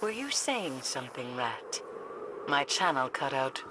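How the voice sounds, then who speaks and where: A woman's voice: extremely low pitch, very animated, unhurried, very noisy, full character voice. An actress playing a stern, aloof female mystic in a video game, dry and knowing in manner. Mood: disdainful. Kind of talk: video game cutscene voice acting.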